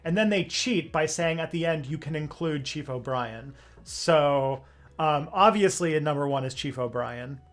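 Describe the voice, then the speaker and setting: A man's voice: a little high, normal speed. A man hosting a livestream in casual, joking chat full of fillers. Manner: chatty, filler-heavy, joking. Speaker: livestream host.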